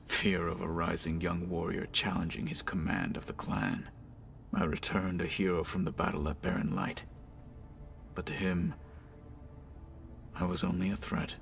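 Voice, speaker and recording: Deep gravelly stoic warrior. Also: male voice, little pitch movement, a little hiss or hum. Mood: solemn, guarded. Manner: formal, measured, solemn speech. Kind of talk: dramatic video game acting.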